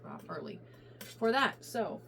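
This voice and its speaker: A middle-aged woman from Virginia chatting casually to viewers in a rambling, self-correcting way while her voice goes hoarse. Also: medium pitch, huge pitch swings, measured pace, a bit bright, a little hiss or hum. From coastal Virginia, US. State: voice going hoarse. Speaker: reseller vlogger. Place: home room with piles of stuff. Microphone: phone on a ring light.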